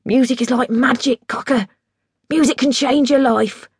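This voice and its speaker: A woman's voice: sits high, steady tone, normal speed, husky, dry recording, studio quality, voice pushed up, full character voice. A woman voicing a drunk, boastful, sweary middle-aged father with big musical dreams, in a bombastic, declamatory way, in an audiobook story. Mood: boastful, pleading.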